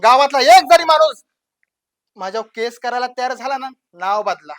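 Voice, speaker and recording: Casting: woman as brazen village gangster, higher-pitched voice, rural western Maharashtra Marathi, taunting villain monologue. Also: animated, normal speed. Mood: threatening. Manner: taunting, swaggering, rhetorical questions, swinging from hushed to loud. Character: brazen, menacing village gangster, child of the village chief. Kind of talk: dramatic villain audition monologue.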